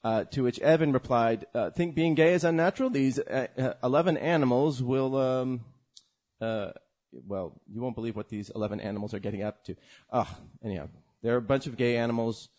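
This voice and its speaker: A man's voice: medium pitch, fairly quick, darkish tone. A man co-hosting a casual comedy podcast, making dry joking asides and reading out listener messages.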